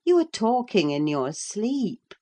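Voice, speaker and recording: A woman reading an audiobook, voicing a sensible older woman servant who speaks in a firm, calming way. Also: mid-range voice, unhurried, pitched above the performer's own voice. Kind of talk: audiobook character voice.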